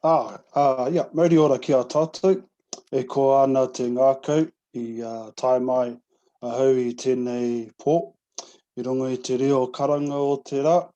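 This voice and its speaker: Mellow-voiced New man, halting. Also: medium pitch. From New Zealand. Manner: halting, many fillers. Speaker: panelist. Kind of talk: formal greeting in another language. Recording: dull video-call audio.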